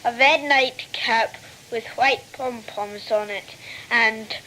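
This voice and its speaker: Bright, slow-speaking female voice. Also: a little high, steady tone, a little hiss or hum, low-quality recording.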